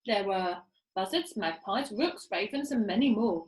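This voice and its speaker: A woman reading a children's story aloud with expressive, dramatic emphasis, recorded in an echoey room. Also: medium pitch, a little slow. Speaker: storybook reader, teacher. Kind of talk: animated read-aloud narration of a children's story.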